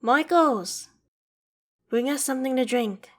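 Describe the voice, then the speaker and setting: A woman's voice: high-pitched, slow. A woman voicing a proud, vain ancient dragon in human form for an ASMR roleplay drama, with formal, haughty, theatrical phrasing. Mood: hospitable, curious.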